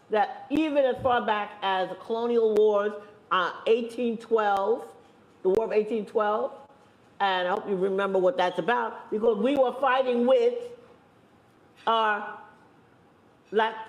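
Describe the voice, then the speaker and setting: A woman speaking on a panel, making her points with emphatic repetition and deliberate slow stresses. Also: a little high. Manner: emphatic, repeats phrases, stresses points slowly. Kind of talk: impassioned panel talk. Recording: echoey room.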